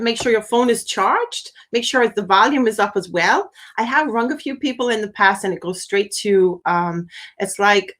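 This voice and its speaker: A woman's voice: mid-range voice, a bit bright. A middle-aged woman, a spiritual medium, chatting casually on a livestream in an upbeat way and greeting viewers by name. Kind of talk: casual live-stream chat.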